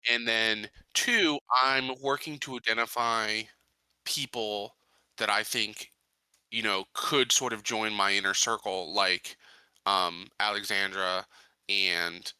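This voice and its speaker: A man's voice: fairly low pitch, fairly even intonation, slow, bright, forceful tone, smooth, dry recording. A man chatting casually as a player in a tabletop game, hesitant and piecing his thoughts together with many fillers.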